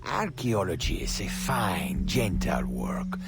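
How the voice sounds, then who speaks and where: An actor playing a deferential, calculating overseer in a game cutscene, polite and measured with quiet threat. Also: male voice, low-pitched, sing-song, creaky, loud music behind the voice, heavily acted. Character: deferential male henchman, calculating camp overseer. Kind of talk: dramatic video game cutscene acting.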